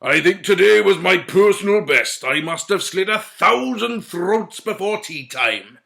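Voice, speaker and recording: Man doing a boastful brute of a lord, loud beery drawl, bright and forceful. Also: sits high, animated, a little slow, creaky, pitched above the performer's own voice, full character voice. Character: brutish boastful medieval male lord.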